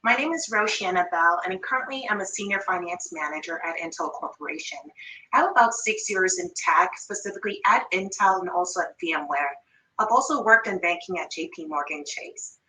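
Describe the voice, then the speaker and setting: A woman's voice: mid-range voice, lively intonation, a little fast, sharp tone, roomy sound, distant mic, a little hiss or hum, rough recording. A woman in her thirties from Jamaica with a light Jamaican accent, giving an upbeat online workshop presentation on pay. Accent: Jamaican, with Toronto and New York influence. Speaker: workshop presenter, finance manager.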